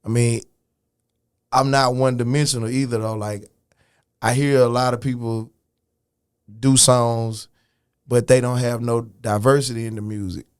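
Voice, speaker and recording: A man in an interview chair talks in a relaxed, frank and profane way, often restarting his sentences. His voice sits in an ordinary middle register. The sound is close and dry, with no room around it. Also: medium pitch, moderate pace, pauses often, smooth, no room sound. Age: adult, older than his early years. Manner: laid-back, frank, profane, restarts and "you know what I'm saying". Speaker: rapper guest. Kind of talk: casual podcast interview.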